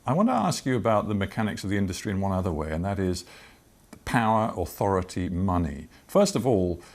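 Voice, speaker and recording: An older man with a British accent conducting a broadcast interview, asking measured, deliberate and probing questions. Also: low-pitched, big pitch swings. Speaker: television interviewer.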